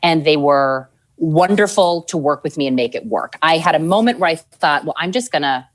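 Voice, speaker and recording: Woman, 40s, news anchor guest; low pitch, animated, chatty storyteller. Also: moderate pace. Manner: lively storytelling with acted-out quotes, frequent laughs. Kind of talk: casual interview conversation.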